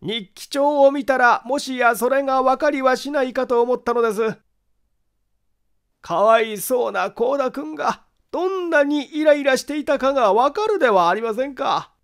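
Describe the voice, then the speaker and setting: A man voicing a grave, secretive man who calmly argues a friend's innocence in a polite, deliberate way, in an audiobook mystery story. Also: very high-pitched, clear, resonant tone, pitched above the performer's own voice. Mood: earnest, explanatory.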